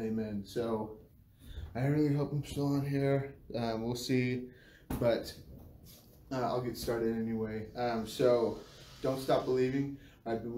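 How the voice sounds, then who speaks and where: American man, mellow voice in a roomy space. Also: medium pitch. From United States. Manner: halting, repeats phrases as he goes. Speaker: church speaker giving a devotional. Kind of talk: informal livestreamed devotional talk with prayer. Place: small bare-walled room indoors. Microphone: phone camera a few feet away. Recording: roomy, distant phone mic, faint hiss.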